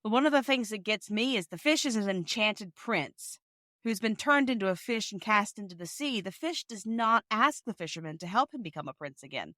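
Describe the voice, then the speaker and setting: A woman's voice: mid-range voice, lively intonation, moderate pace, fairly bright tone, no echo. A woman podcast panelist giving her take in a casual discussion.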